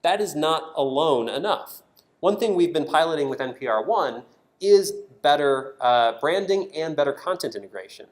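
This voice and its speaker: A man's voice: a little high, expressive, moderate pace. A man giving a prepared conference presentation, explaining his points with emphatic stresses. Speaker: conference presenter, design team member at a public radio network. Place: indoor conference talk. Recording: echoey room sound.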